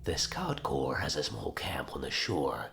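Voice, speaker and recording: A man voicing a sinister, calculating orc warlock in a gravelly whispery rasp, briefing slowly in a game cutscene. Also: very deep voice, huge pitch swings, music in the background, heavily acted. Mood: grave scheming. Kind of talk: video game cutscene acting.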